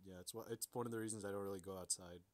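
A man's voice: deep voice, steady tone, rapid-fire. A man co-hosting a podcast in casual banter, joking and self-deprecating. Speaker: podcast co-host.

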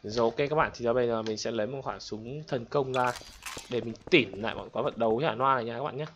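A man hosting gameplay in an exclamatory, excited commentary style, addressing viewers like friends. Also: medium pitch, quick pace, muffled recording, slight background noise. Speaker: gaming video host. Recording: loud game gunfire and clicks behind. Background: game gunshots, explosions and clicks.

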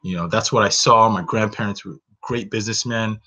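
A man's voice: on the low side, fairly quick, sharp tone. A man in his thirties, raised in Northern Nigeria, hosting a webinar from a small bedroom on his webcam, talking earnestly about his own story with many "you know"s. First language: Hausa. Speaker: webinar host. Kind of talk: reflective solo webinar talk. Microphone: webcam, close framing. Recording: dull lo-fi webcam sound.

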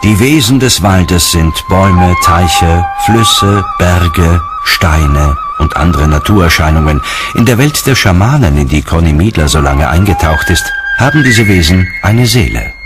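Really deep guy narrating a documentary, music playing behind him. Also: expressive, a little slow.

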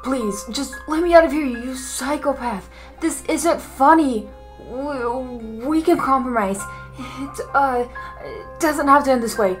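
A woman's voice: high voice, slow, soft background music. A woman voicing a terrified female captive, stammering and pleading. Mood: panicked.

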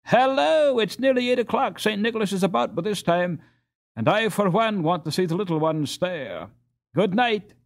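Storybook role: friendly young leader, eager and cheery, man acting, lifted pitch. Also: lively intonation, normal speed, vocal fry. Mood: brisk, cheery. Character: eager friendly teenage boy, natural leader. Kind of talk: children's storybook character acting.

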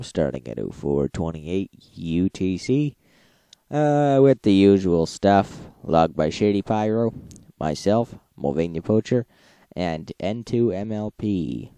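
Man, very low voice, creaky, matter-of-fact, informal recap narration. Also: mellow, no echo. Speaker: host of a radio recap.

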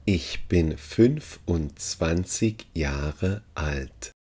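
A man working as a language teacher, reading short phrases deliberately and repeating each one for learners. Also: deep voice, expressive, dark tone, breathy edge.

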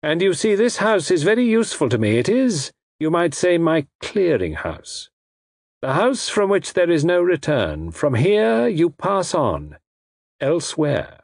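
A man performs a suave, unseen male villain from a suspense audiobook, pitched high and husky. He speaks with formal precision and mock regret, courteous on the surface and coldly menacing beneath, in a dry close recording. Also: huge pitch swings, unhurried, no room sound, exaggerated voice. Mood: suave menace.

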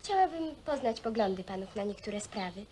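An actress playing a courteous, earnest researcher, formal and a little hesitant, in naturalistic film style. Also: female voice, medium pitch, lively intonation, normal speed, dark tone, lightly acted. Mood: polite. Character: polite, earnest female sociology researcher conducting a survey. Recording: faint background noise, old film soundtrack.

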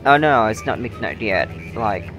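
Slow-talking young man streaming a game. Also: fairly low pitch, animated, fairly bright tone. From Indonesia. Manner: chatty, halting, many restarts and laughs. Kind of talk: casual live-stream chat reading viewer comments. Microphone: shotgun microphone, not facing it. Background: faint game music.

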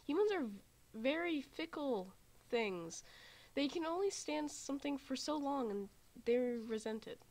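A woman's voice: sits high, lively intonation, a little slow, darkish tone. A woman voicing a frank, confident male anime character, blunt and explanatory, in an amateur fan drama. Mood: insistent lecturing. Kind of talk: amateur fan dub roleplay.

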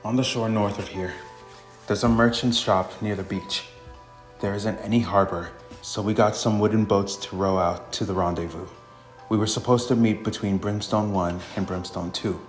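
Man reading as a low-voiced raider grunt. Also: steady tone, normal speed, lightly acted. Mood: matter-of-fact. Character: defiant, well-spoken male raider grunt. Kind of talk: reading visual novel dialogue aloud. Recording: roomy echo, faint music behind.

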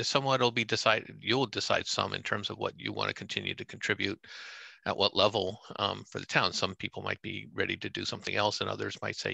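A man answering questions on a video call from a home office, speaking in a halting, measured way with many restarts. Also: lowish voice, gravelly, bright, forceful tone, husky, dry recording. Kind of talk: video call meeting reply. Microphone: headset on video call.

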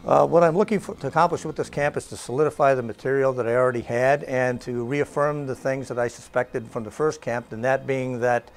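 A man's voice: mid-range voice, fairly even intonation, a little fast, few pauses. A man in an outdoor testimonial interview speaking earnestly about his training program. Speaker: networking trainee.

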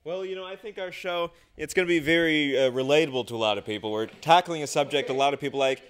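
A man's voice: fairly high pitch, fast talker. A young man hosting a live show in a jokey, showman-like way. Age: young adult. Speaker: show host. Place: small studio, indoors. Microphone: stand microphone.

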